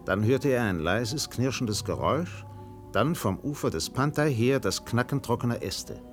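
Radio play narrator: male actor, grave literary storytelling, highly varied pitch, light music bed underneath. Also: animated, moderate pace, close to an everyday voice. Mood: grave, suspenseful.

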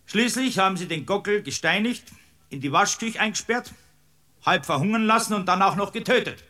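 An actor playing an irascible, impatient village-court judge with a Bavarian accent in a radio drama, blustering and sarcastic. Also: male voice, high-pitched, animated, brisk, bright, forceful tone. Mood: exasperated. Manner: blustering, sarcastic, barking orders. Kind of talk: German radio drama acting.

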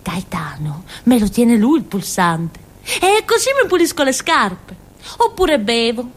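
An actress playing a naive, chatty village fool in a theatrical monologue, with a southern Italian accent and a rambling, giggly way of talking. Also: female voice, a little high, big pitch swings, unhurried, slightly rough, heavily acted. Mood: dreamy, fascinated. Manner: rambling, giggly, with frequent interjections and tag questions.

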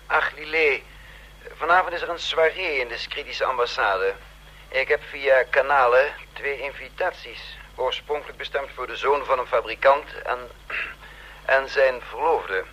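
An actor playing a courteous, self-possessed intelligence chief in a radio drama, formal and measured, with hesitant pauses. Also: male voice, medium pitch, sharp tone, rough recording. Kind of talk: Dutch radio drama acting.

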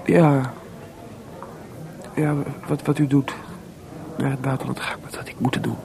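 An actor playing a melancholy, restless composer in a Dutch radio play, hesitant and searching for words, with theatrical delivery. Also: male voice, medium pitch, level tone, measured pace, gravelly, a crowd in the background. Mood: flustered. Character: Mozart, restless, melancholy composer, proud but adrift.

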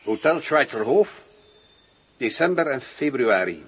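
An actor playing a wary, suave general in a radio drama, speaking in a theatrical, insinuating way. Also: male voice, mid-range voice, very animated, a little slow, breathy edge. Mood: cunning, businesslike. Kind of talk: Dutch radio drama acting. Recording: noisy old radio drama recording.

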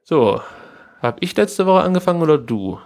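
A male podcast co-host speaks in a fairly high-set voice. He talks casually and colloquially, offering firm opinions like someone chatting with a friend. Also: big pitch swings, normal speed, darkish tone. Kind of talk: casual chat about pro wrestling.

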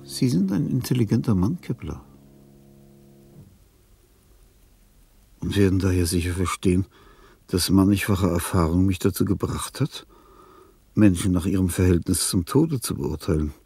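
A gravelly, imperious old dying composer. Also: male voice, deep voice, lively intonation, dark tone, full character voice. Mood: pointed, musing. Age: older. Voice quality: husky, gravelly. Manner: declamatory, pompous, pausing, emphatic. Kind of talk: radio drama monologue.

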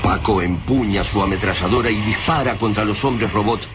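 A man's voice: lowish voice, moderate pace, loud music behind the voice, close to an everyday voice. A man with an Argentine Rioplatense accent narrating a scripted radio drama in a grave, vivid, dramatic way. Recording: narration over loud action effects. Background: loud car and battle effects.

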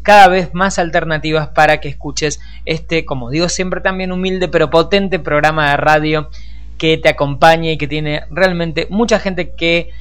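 A man's voice: a little high, steady tone, moderate pace, fairly bright tone, clear, resonant tone. An Argentine man presenting a radio programme in a conversational, discursive way, speaking directly to listeners. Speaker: radio host and journalist.

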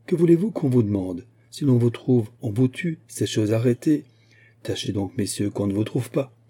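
An honourable young officer and gentleman, voiced by a male narrator. He speaks earnestly and courteously, with a lively delivery. The storybook voice has a dark tone and a husky grain. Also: medium pitch, moderate pace. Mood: urgent, constrained.

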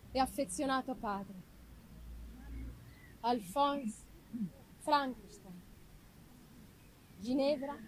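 A woman with a distinctly high voice reads a gothic novel aloud in a theatrical, expressive way. Her phrasing is deliberate and literary. There is light ambient noise behind her. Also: poor audio. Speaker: reader of a literary audiobook.

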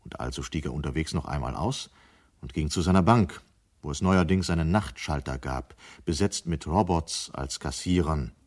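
An actor narrating a 1970 radio play as a sober, measured storyteller. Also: male voice, rumbling low, darkish tone.

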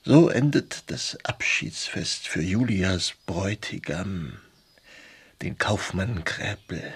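An actor playing a melancholy, haunted writer confiding in a friend by letter in a German radio drama, intimate and brooding. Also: male voice, low-pitched, expressive, slow, slightly rough, full character voice. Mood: agitated, bitter.

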